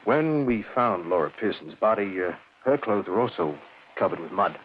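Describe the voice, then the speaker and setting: An actor playing a probing, matter-of-fact police lieutenant in an old radio drama, measured and businesslike. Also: male voice, on the low side, expressive, muffled recording, lightly acted. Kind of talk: 1940s-50s radio drama acting. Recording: thin, noisy old radio broadcast.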